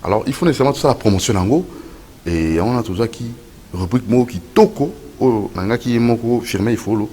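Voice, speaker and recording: A man's voice: medium pitch, expressive, quick pace, dark tone, a little hiss or hum. A man hosting a casual studio chat, talking over his guest and correcting him. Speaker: host.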